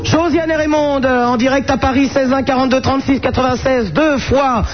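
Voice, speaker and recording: A woman hosting a live radio call-in show, teasing callers with crude, quick-witted banter. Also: high voice, fairly even intonation, crowd noise. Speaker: radio host. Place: shopping centre, live broadcast. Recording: lo-fi noisy radio broadcast.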